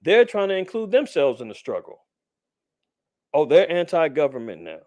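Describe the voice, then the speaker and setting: Slangy, animated male Bible teacher. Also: a little high, moderate pace, no room sound, lo-fi sound. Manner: conversational, streetwise slang, animated asides. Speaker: Bible teacher, podcast host. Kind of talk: informal scripture teaching.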